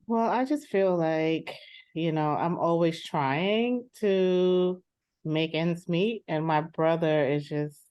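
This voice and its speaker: An exasperated, complaining sister, voiced low and slow by a woman in a role-play. She sounds tired of carrying the load for her brother. The sound is dry and close. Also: darkish tone, clear, resonant tone, dry recording. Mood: frustrated. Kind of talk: role-played counseling session.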